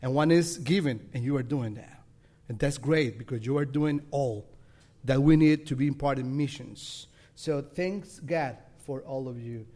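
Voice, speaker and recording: A man from El Salvador with a Salvadoran Spanish accent, speaking halting second-language English as a missionary leader addressing a congregation. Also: medium pitch, mellow. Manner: halting non-native English, self-deprecating, laughing often.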